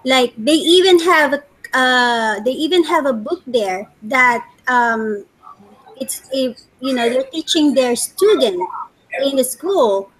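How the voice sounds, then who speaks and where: High-voiced Filipino woman in debate. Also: lively intonation, takes it slowly, fairly bright tone, rough recording. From Philippines. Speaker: discussion moderator. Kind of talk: casual debate on a livestream. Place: online group voice call.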